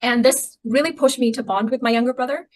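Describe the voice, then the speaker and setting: A woman's voice: high-pitched, a little fast. Reflective young woman telling her story. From Myanmar (Rohingya from Arakan), raised in Thailand. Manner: reflective, many restarts and "you know". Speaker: podcast guest, activist. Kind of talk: personal storytelling in an interview.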